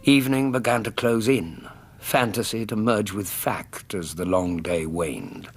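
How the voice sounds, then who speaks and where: An actor playing an earnest, loyal Victorian gentleman companion who narrates a radio drama, with a British accent and an expressive, literary delivery. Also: fairly low pitch, unhurried, breathy edge, exaggerated voice. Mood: melancholy. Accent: British English.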